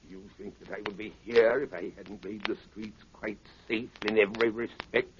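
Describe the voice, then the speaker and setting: Menacing criminal mastermind, low voice acted by a man. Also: steady tone, close to an everyday voice. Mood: cold menace. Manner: measured, formal, veiled threats. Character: cold, menacing older male criminal mastermind. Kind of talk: 1930s radio drama acting. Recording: muffled, noisy 1930s radio recording.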